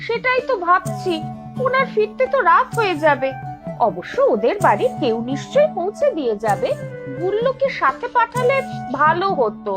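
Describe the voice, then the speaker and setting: A practical cartoon mother, played by a woman, coaxing in homely fashion. Her voice is extremely high and lilting, over clear children's music. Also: sing-song, moderate pace. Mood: fretting.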